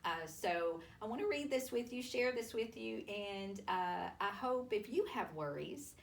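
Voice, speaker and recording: A woman reading a children's storybook aloud to families, with expressive, deliberate delivery and drawn-out emphasis. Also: medium pitch, gravelly. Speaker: school superintendent reading a picture book aloud to families. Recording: roomy, distant mic with faint noise.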